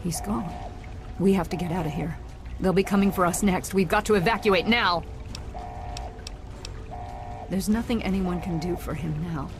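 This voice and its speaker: An actress playing an urgent, earnest scientist in a video game, speaking insistently. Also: female voice, fairly low pitch, moderate pace, pauses often, over music, lightly acted. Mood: shocked, urgent. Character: urgent, earnest female scientist leading an escape. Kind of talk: dramatic video game acting.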